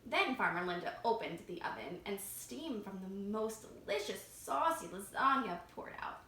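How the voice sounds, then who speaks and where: A woman reading a picture book aloud to children as a library storytime reader, expressive and engaging them directly. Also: mid-range voice, moderate pace. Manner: expressive, asking young listeners questions. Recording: roomy echo, faint background noise.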